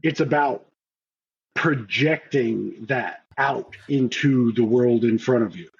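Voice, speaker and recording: A man co-hosting a podcast, talking in a measured, reflective, self-analyzing way. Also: medium pitch, gravelly, muffled recording, a little hiss or hum. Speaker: podcast co-host. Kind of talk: casual podcast chat.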